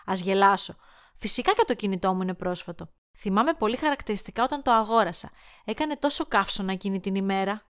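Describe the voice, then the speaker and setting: A woman's voice: mid-range voice, expressive, a bit bright, clear, resonant tone, close to an everyday voice. A woman playing a flighty, cheeky and dramatic young woman in an amateur audio drama, rambling and sarcastic. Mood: chatty, sarcastic.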